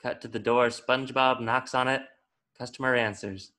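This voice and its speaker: A man's voice: mid-range voice, steady tone, moderate pace, pushed and bright. A man reading scripted stage directions as the narrator of a table read.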